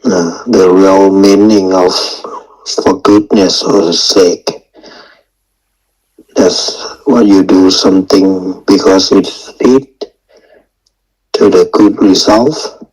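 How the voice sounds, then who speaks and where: Very slow, deep, husky male meditation teacher. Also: fairly even intonation, darkish tone, low-quality recording. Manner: halting, short phrases with long pauses; non-native English phrasing. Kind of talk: guided meditation and dharma talk.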